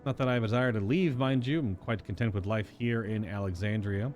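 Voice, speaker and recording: A man voicing an earnest, contented farm worker from a fantasy game, reading the dialogue aloud in a polite, measured manner. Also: fairly low pitch, a little mellow, close to an everyday voice. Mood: friendly, content. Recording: music behind the voice.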